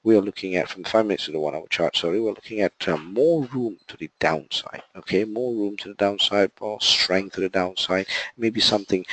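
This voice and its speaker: A man's voice: on the low side, brisk, few pauses, fairly bright tone, rough recording. A man with a sore throat, explaining trading charts step by step in a live online session, with frequent okays and uhs. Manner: explains step by step, fills with okay and uh. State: sore throat, voice not clear or loud. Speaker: trading analyst presenting a live webinar. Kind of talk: live market analysis walkthrough.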